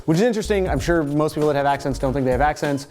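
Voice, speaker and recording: Chatty Canadian man, higher voice. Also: racing, darkish tone, soft background music. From Toronto, Canada. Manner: chatty and jokey, with sarcastic asides. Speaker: host of a music video series. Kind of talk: casual commentary, answering viewer comments.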